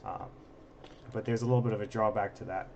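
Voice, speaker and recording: A slightly hoarse man hosting a casual live stream, with a self-deprecating, joking manner. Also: fairly low pitch, fairly even intonation, fast, darkish tone. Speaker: livestream host and telescope operator. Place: observatory during a live stream. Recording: dull sound with faint background noise.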